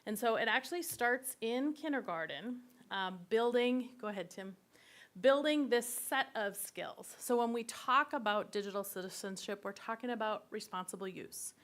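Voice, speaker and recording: A woman's voice: fairly high pitch, expressive, normal speed, fairly bright tone. Upbeat woman, district staff, presenting slides at a board meeting.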